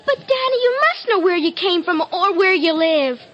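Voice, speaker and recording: Plucky high-voiced city kid. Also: female voice, expressive, normal speed, a bit bright. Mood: curious, plucky. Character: plucky city kid girl, streetwise gang leader. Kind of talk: old-time radio musical comedy acting. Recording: muffled vintage radio recording.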